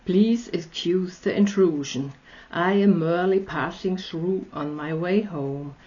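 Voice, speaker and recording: A woman's voice: fairly low pitch, mellow. Polite hungry vampire man, read by an Austrian woman. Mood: courteous. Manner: courteous, formal, measured. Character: polite, hungry male vampire in his prime. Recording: slightly dull lo-fi audio.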